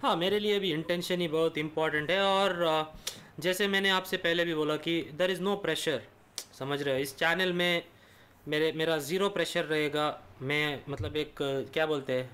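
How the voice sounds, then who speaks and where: A man co-hosting a casual podcast chat, speaking halting Hindi laced with English words and many "you know" fillers. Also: a little high, steady tone, fairly bright tone, clean tone. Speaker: podcast co-host.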